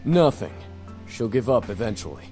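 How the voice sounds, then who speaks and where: A man voicing a hot-headed young demon in a visual novel, blunt and gruff. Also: fairly low pitch, sing-song, normal speed, warm tone, faint music behind. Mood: brusque. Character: brash, hot-tempered young male demon.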